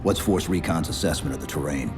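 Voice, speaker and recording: An unflappable armored super-soldier with an extremely deep voice. He speaks in terse, understated game dialogue, with long reverb and faint music behind. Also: brisk. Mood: matter-of-fact. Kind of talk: video game cutscene acting.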